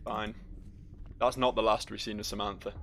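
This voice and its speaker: A man's voice: lowish voice, level tone, moderate pace, creaky, fairly bright tone. A man hosting a game playthrough with casual, wry, sweary commentary. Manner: wry, sweary, reads game text aloud. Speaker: gaming video host. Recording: faint noise, game ambience.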